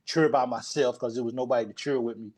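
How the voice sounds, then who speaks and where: Folksy man, mid-pitched. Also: steady tone, fast talker, a little mellow. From Kentucky, United States. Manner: folksy, repeats phrases for emphasis. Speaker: podcast guest, sports fan show host. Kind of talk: casual chat.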